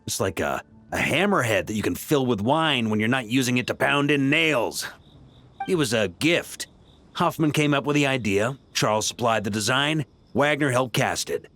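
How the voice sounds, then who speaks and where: Jovial veteran knight commander, animated delivery. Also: male voice, medium pitch, moderate pace. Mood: jovial, boastful. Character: jovial, hard-drinking veteran knight commander, older man. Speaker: video game character voice actor. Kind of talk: conversational video game dialogue. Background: game music.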